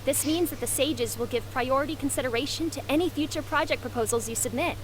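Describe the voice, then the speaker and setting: A woman's voice: high voice, fast talker, noisy background, natural voice. An actress playing a gracious female ceremony announcer in a video game, formal, polite and poised. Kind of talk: scripted video game voice acting.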